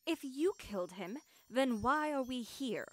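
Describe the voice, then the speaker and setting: A woman performs a captive witch mother in a fantasy audio drama. Her high voice swings widely in pitch, earnest and pleading yet resolute. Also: lively intonation, unhurried. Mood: indignant. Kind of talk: fantasy audio roleplay acting.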